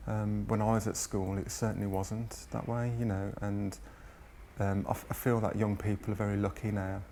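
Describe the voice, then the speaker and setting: A man's voice: fairly low pitch, flat delivery, moderate pace, gravelly, warm tone, slight background noise. A man in his forties recalling his own past in an interview, earnest and reflective. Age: 40s. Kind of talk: personal recollection in an interview.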